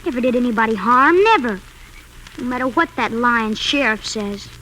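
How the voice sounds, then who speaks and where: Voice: medium pitch, animated, moderate pace, bright, forceful tone. An actor playing an angry, grieving young frontier boy in a 1950s radio Western, with an American accent and stubborn, rustic speech. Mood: bitter defiance. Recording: noisy 1950s radio recording.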